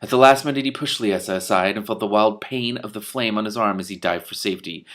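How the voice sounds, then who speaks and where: Guy with a lowish voice reading a book out loud, kinda loose, tosses in little comments. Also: quick pace. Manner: animated in dialogue, stumbles and rereads. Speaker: reader giving commentary on a book. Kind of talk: expressive storybook narration with off-the-cuff asides.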